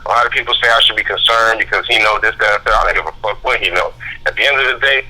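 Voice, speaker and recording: A man speaking as a guest on a talk radio show, heated and profane, telling his story fast and forcefully. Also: fairly low pitch. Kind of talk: angry call-in radio talk. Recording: phone line.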